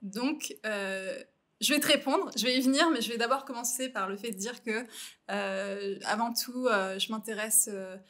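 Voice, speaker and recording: Woman, French accent, high-pitched voice, podcast guest, reflective, explanatory, conversational. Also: normal speed, a bit bright. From Normandy, France. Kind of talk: casual conversational interview.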